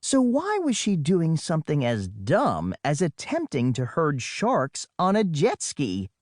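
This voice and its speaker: A man narrates a children's adventure in a highly animated, swooping voice that sits fairly high for a male. He takes his time and drops in wry asides like a boy telling his own story. The sound is dry and close. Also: unhurried, dry recording. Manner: dramatic, wry conversational asides. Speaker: audiobook narrator.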